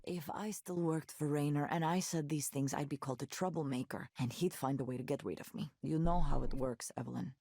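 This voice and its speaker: Cynical, opinionated woman in a visual novel. Low register, dry close sound, earnest delivery. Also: fairly quick, gravelly, few pauses, dark tone, breathy edge, no room sound, heavily acted. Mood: bitter, resigned. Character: outspoken, cynical woman who quit a tech company. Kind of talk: visual novel game voice acting.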